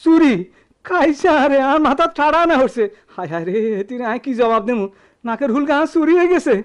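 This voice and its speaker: Excitable high-voiced Noakhali customer. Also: male voice, fairly quick. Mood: panicked. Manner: exclamatory, dramatic wailing. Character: excitable, fretful male customer of a goldsmith. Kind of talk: Bengali radio drama acting.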